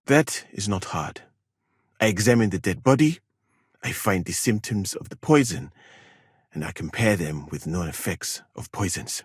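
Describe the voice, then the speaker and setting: Courteous, deep-voiced scholar-physician. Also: male voice, slightly rough, no room sound, studio quality, heavily acted. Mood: reasoning, assured. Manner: measured, polite, formal, articulate. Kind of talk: video game dialogue acting.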